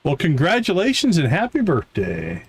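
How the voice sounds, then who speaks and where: A man's voice: fairly high pitch, wildly swooping pitch, moderate pace, slight background noise. A man giving playful, chatty live commentary as he plays a video game, talking to characters and viewers. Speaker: livestream host playing a farming video game. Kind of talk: casual live gaming commentary.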